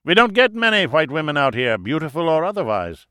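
Man putting on a booming, effusive older German man. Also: very animated, brisk, bright, forceful tone, dry recording, studio quality. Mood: gallant, jovial. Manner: hearty, effusive, falsely courteous. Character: big, jovial, unctuous older German expatriate man, theatrically gallant.

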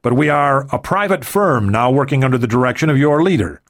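A man's voice: mid-range voice, animated, fairly quick, natural voice. A man voicing a curt male mercenary commander who gives clipped, authoritative orders. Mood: commanding.

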